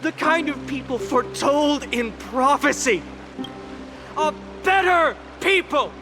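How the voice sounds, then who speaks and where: An actor playing a solemn young video game hero, giving a measured, earnest speech to a grieving city. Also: male voice, extremely high pitch, lively intonation, music in the background, full character voice. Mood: rousing.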